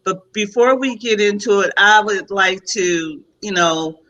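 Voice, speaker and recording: A woman's voice: mid-range voice, unhurried, sharp tone, dull audio. A woman hosting a talk show panel in a chatty, casual way over a video call, often given to laughing. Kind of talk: casual chat moderating a panel.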